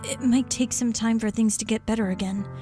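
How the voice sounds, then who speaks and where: A woman voicing a gentle, reassuring young visual novel protagonist with an earnest, hesitant manner. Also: fairly high pitch, fairly even intonation, fairly quick, soft background music, pitched below the performer's own voice, natural voice. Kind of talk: visual novel dialogue read aloud.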